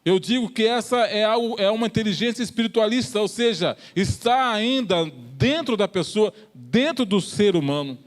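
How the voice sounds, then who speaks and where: A man with a Brazilian accent preaching a church sermon, explaining in a halting way with repeated phrases and pauses. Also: high voice, animated.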